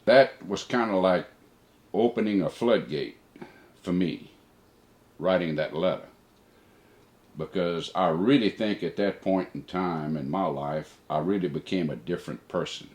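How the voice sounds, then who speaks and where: A man's voice: sits low, unhurried, a little mellow. An older man, a father, reading a personal letter aloud and reflecting on it.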